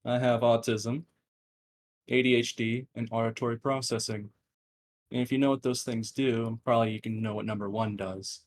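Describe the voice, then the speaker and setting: Monotone man answering podcast questions. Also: medium pitch, normal speed. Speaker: podcast guest. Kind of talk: casual interview answers.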